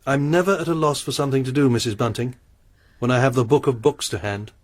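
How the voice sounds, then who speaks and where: An actor playing a polite, pious male lodger who speaks in a soft, courteous and formal way. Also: mid-range voice, fast, dry recording, natural voice. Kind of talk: radio drama acting.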